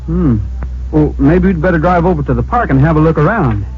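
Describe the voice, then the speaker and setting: An actor playing a calm, concerned, plainspoken hero in an old-time radio drama. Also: male voice, on the high side, a little fast, lightly acted. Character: calm, concerned, open-minded hero investigating a mystery. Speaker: lead actor of the series. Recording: muffled old radio recording, faint hiss.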